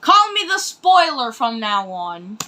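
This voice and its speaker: A woman hosting a reaction video, commenting casually in an excitable, exclamatory, rambling way. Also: fairly high pitch, takes it slowly.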